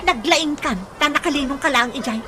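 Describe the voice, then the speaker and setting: A woman's voice: sits high, fairly quick, noisy background, natural voice. A woman playing a vain, bossy wife and mother in an Ilocano radio comedy, demanding and whiny. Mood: bossy, complaining.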